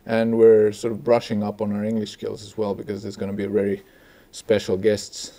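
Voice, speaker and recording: A man discusses film casually as a podcast co-host, in a hesitant, halting way. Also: fairly low pitch, completely flat delivery, warm tone. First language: Finnish. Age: 40s. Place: small indoor stage with curtains, seated at a table.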